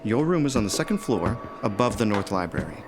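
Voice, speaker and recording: A man's voice: fairly low pitch, very animated, a little fast. A man playing a friendly, cheeky young house servant in a gothic audio drama, chatty and teasing. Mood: curious, hushed. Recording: music playing behind the voice.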